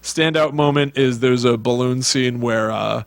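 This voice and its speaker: An American man co-hosting a podcast, casually retelling stories in an animated way. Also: medium pitch, unhurried, gravelly, no room sound. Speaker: podcast co-host. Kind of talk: casual chat, retelling films and TV.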